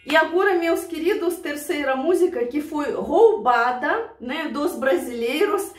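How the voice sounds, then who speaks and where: A woman's voice: high voice, lively intonation, measured pace, recorded from a distance. A woman from Siberia with a Russian accent, chatting to her viewers in an emotional, laughing way about old memories. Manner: emotional, laughs often, addresses viewers fondly. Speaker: vlogger reacting to songs. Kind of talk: casual reminiscing to viewers. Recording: roomy, voice away from mic.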